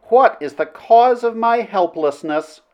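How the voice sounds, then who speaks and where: A man's voice: high-pitched, lively intonation, a little slow, pitched above the performer's own voice. A man voicing a proud princess in an old adventure romance audiobook, melodramatic and formal in speech. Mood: astonished, wavering. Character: proud commanding princess, stern then helplessly yielding to love.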